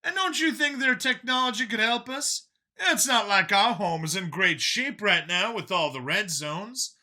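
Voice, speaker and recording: A man's voice: sits high, animated, normal speed, bright, forceful tone, pitched above the performer's own voice, full character voice. A man voicing a skeptical, homesick, plain-spoken male companion in an audiobook, with a questioning, challenging manner.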